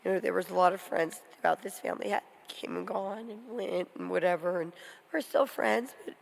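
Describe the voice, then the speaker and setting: Husky, gravelly middle-aged woman. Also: on the low side, poor audio. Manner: halting, tearful and shaky. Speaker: mother speaking at a memorial. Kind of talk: memorial remembrance speech. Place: memorial service.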